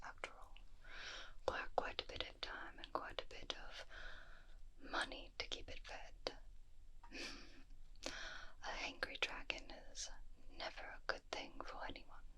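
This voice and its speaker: Slow, bright whispering woman. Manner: gentle, unhurried, speaking directly to the listener. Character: kindly magical creature keeper advising a patron. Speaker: ASMR host playing a roleplay character. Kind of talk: whispered ASMR fantasy roleplay. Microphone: close binaural microphone.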